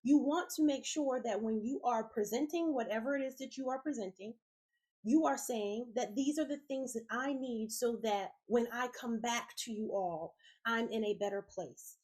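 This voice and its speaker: Woman, podcast host, congested, raspy from a cold, encouraging, talks straight to listeners, fairly high voice. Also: measured pace. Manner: warm encouraging, speaks directly to listeners. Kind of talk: motivational self-care talk.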